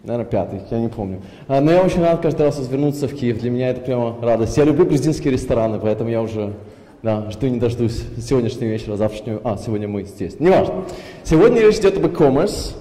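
A man in his thirties from Belarus, with an unusual accent from years in Germany, giving a lively conference talk on a headset mic with lots of fillers. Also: medium pitch, fast. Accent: mixed, from Belarus and years in Germany. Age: 30s. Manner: lively, gestures a lot, many fillers. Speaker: conference speaker. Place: large conference hall with audience. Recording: reverberant hall.

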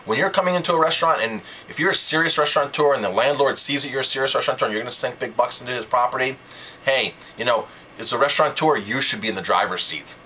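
A man's voice: medium pitch, fast, bright, forceful tone, slight background noise. A man giving informal, instructional advice as a restaurant owner, conversational and emphatic, often posing rhetorical questions. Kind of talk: informal instructional video talk.

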